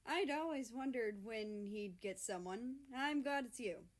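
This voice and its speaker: A woman voicing a cheerful, teasing, warm-hearted male friend in a story reading. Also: a little high, unhurried, close to an everyday voice. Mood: happy.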